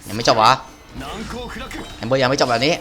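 A man's voice: medium pitch, fairly even intonation, brisk, music in the background. A man giving casual, slangy, exclamatory gameplay commentary, often talking to himself as he plays. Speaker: gaming video host playing through a game.

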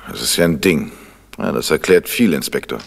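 An actor playing a composed, worried middle-aged gentleman sleuth in a crime radio play, speaking with controlled insistence. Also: rumbling low, expressive, normal speed, vocal fry, slight background noise, exaggerated voice. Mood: brisk, serious. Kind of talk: 1970s German crime radio play acting.

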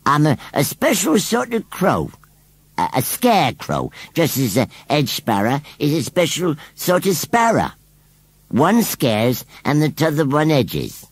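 A man's voice: on the high side, huge pitch swings, unhurried, slightly rough, no echo, voice pushed up, heavily acted. A man voicing a scruffy, stubborn and touchy storybook scarecrow with a rural English accent and emphatic dialect speech. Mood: huffy, argumentative. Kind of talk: children's storybook character voice.

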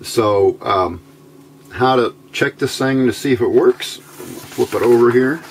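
A man giving an informal hands-on electronics tutorial, thinking aloud in a halting, unscripted way at an indoor workbench. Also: mid-range voice, rough recording. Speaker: electronics hobbyist demonstrating a homebuilt circuit. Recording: amateur recording with clear background noise.

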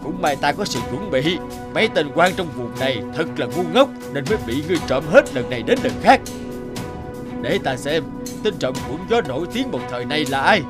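An actor playing a corrupt, blustering, cowardly cartoon official with an exclamatory manner. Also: male voice, on the high side, very animated, fairly quick, over music. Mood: gloating, threatening. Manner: gloating, then grovelling, exclamatory.

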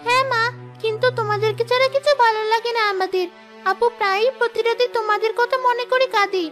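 A woman's voice: extremely high pitch, quick pace, a bit bright, soft background music. A woman voicing a frail, pleading little girl in a cartoon, plaintive and childlike. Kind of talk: Bengali cartoon voice acting.

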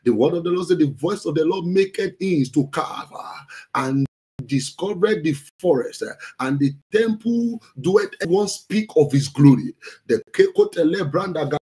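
A Nigerian-accented man leads prayer as a pastor in a high voice. His delivery is fervent and insistent, in a charismatic preaching style. He drives prayer lines home through forceful repetition. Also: animated, moderate pace. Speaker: pastor leading online prayer meeting.

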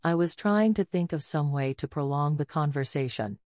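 A woman's voice: low voice, normal speed, darkish tone, no room sound, clean studio sound. A woman reading out scripted sentences as prompts in an English speaking test.

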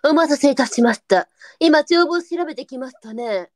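A woman's voice: high voice, lively intonation, moderate pace, dry recording, studio quality. A woman playing a worldly, firm but kindly brothel madam, composed and matter-of-fact, in a Japanese period audio drama. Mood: composed, advising.

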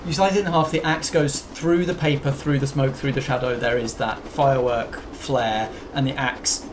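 A man's voice: mid-range voice, steady tone, normal speed, background noise. Chatty male game master narrating. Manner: descriptive, chatty with many fillers.

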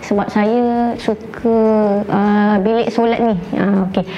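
A middle-aged woman with a Malaysian accent giving a casual, chatty tour of her house, often pausing to search for words. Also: medium pitch, fairly even intonation, dark tone. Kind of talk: casual guided home tour to camera. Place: indoors, rooms of a furnished house. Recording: slightly dull sound with faint background noise.

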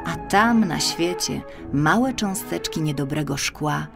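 Low-pitched woman telling a children's fairy tale. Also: expressive, slow pace, gravelly, over music. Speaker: audiobook narrator. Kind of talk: expressive storytelling for children, scripted narration.

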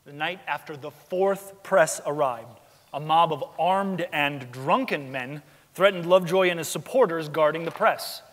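High-voiced young man narrating onstage. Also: a little slow, a bit bright. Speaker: stage narrator. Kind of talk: scripted dramatic narration. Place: large theatre stage. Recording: echoing theatre stage.

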